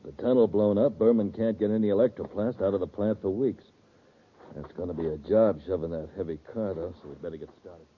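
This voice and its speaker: A man plays a blunt male henchman in a 1950s radio crime-and-space serial. His voice sits low, and he comes across as an uneasy, questioning accomplice. The recording is tinny and narrow. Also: brisk, lightly acted. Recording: noisy, tinny old-time radio recording.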